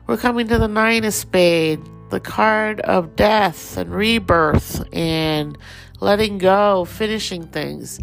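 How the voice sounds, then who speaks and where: A woman with a Thai accent giving a relaxed, rambling daily astrology forecast as host. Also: on the low side, vocal fry, low-quality recording.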